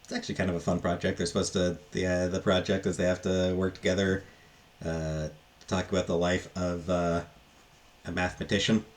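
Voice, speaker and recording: A man with a very low-pitched voice talks through a book review off the cuff. His delivery is casual and rambling, with halting phrases that tend to trail off. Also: level tone.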